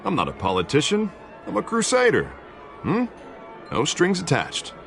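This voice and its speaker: A smooth-talking American politician in a game cutscene. He is middle-aged and ambitious, speechifying persuasively with lively swings of pitch over music. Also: normal speed, full character voice. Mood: earnest, persuasive. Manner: glib, persuasive, theatrical speechifying. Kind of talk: video game cutscene acting.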